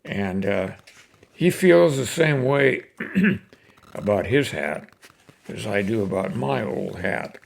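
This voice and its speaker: An older man with a folksy, unhurried manner, hosting and reciting cowboy poetry in short, paused phrases. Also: fairly low pitch, lively intonation, vocal fry. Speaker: host reading cowboy poetry. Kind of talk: casual introduction and expressive poem recitation.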